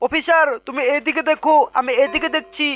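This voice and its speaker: A woman's voice: sits high, steady tone, a little fast, very noisy, lightly acted. A woman voicing a commanding, determined village doctor in a cartoon, calling out orders. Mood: bossy, urgent. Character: bossy, determined doctor on a village vaccination round. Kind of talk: Bengali cartoon dialogue. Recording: loud music under the voice.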